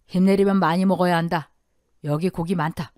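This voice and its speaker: Woman voicing a firm, gruff older father-in-law. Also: fairly low pitch, steady tone, fairly quick, darkish tone, dry recording, studio quality, natural voice. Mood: generous, caring. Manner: firm, blunt, caring. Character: gruff but warm-hearted older father-in-law, a restaurant owner. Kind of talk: radio story drama acting.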